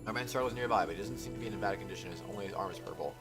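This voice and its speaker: A man streaming a game, reading its story text aloud in a halting way with self-corrections and casual asides. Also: on the low side. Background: game music.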